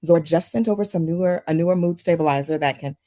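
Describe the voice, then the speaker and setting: A woman playing a concerned, guarded psychiatrist with a measured professional manner at a drama table read. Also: lowish voice, dark tone, close to an everyday voice. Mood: calm concern. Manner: measured, professional, hesitant at times.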